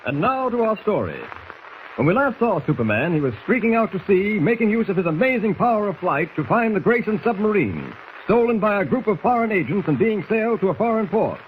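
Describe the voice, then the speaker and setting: A man's voice: high-pitched, very animated, fairly quick, muffled recording. An American man narrating a 1940s radio serial with urgent, grandiloquent delivery. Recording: faintly noisy 1940s radio recording.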